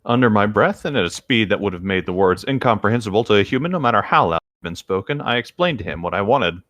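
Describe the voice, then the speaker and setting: A man's voice: lowish voice, animated, fast, fairly bright tone, no room sound, clean studio sound. A man chatting casually and reading aloud among friends. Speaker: co-host of a comedic group read-along.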